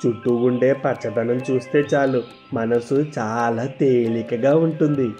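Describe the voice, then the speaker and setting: A man voicing a respectful, deferential attendant to a swami in an animated story. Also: mid-range voice, unhurried, faint music behind, natural voice. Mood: reverent. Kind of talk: animated moral story dialogue.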